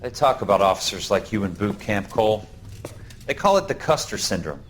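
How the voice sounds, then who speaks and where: An actor with an American accent playing a cynical young Marine in a video game, dry and needling. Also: male voice, fairly low pitch, animated, brisk, fairly bright tone, a little hiss or hum. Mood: scornful, challenging. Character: sardonic, cynical young Marine officer candidate. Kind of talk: dramatic game acting.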